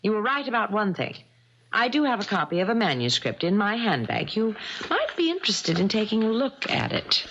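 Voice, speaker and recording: An actress playing an aggrieved, determined young woman novelist in a radio mystery drama, speaking in a pointed, deliberate, confrontational way. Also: mid-range voice, big pitch swings, a bit bright. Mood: bitter, accusing. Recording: dull lo-fi old radio broadcast.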